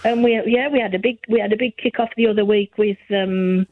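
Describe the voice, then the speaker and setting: An older woman calling in to a radio phone-in, chatty and rambling, with a self-mocking humour and a gravelly voice. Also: mid-range voice, steady tone, brisk, dry recording. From England. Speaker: caller. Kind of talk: phone-in chat. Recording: tinny phone line.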